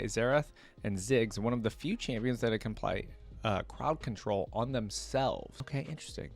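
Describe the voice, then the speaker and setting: A young man hosting a reaction video, reading passages aloud and breaking off into casual, filler-heavy asides. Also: fairly low pitch. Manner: reads text aloud, then breaks off with chatty asides and filler.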